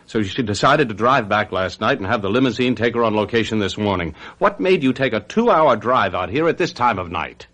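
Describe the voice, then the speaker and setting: An actor playing a guilty, scheming husband in a radio mystery, brooding and theatrical. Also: male voice, fairly low pitch, lively intonation, brisk, few pauses, a bit bright. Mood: irritated, curt. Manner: theatrical, brooding, self-justifying. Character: guilty, scheming husband who murdered his wife. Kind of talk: old-time radio mystery drama acting.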